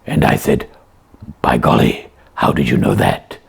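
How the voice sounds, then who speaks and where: Puppet detective: boastful bumbling doctor, extremely low, badly husky croak, pompous comic delivery. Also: a little slow, full character voice. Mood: pompous wonder. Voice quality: very raspy, gravelly, husky. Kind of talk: improvised comic puppet show dialogue.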